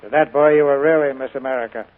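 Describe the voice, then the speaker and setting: A man's voice: mid-range voice, fairly even intonation, fast. A middle-aged man hosting a radio show, interviewing a guest in a polished, genial, teasing way. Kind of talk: scripted on-air interview. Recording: tinny 1940s radio broadcast.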